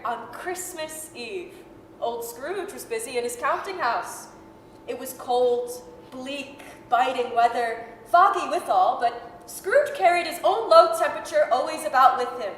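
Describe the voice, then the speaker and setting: A woman narrating a story dramatically, with emphatic, deliberate phrasing. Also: high voice, fairly bright tone. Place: performance hall stage. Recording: echoey hall with reverb, faint noise.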